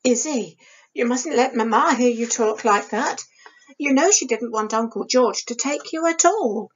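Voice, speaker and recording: Woman voicing an anxious, high-pitched younger sister. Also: measured pace, slightly rough, dull audio. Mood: worried, scolding. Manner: fretful, earnest, admonishing. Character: anxious, proper, cautioning younger sister, girlish. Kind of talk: audiobook character voice.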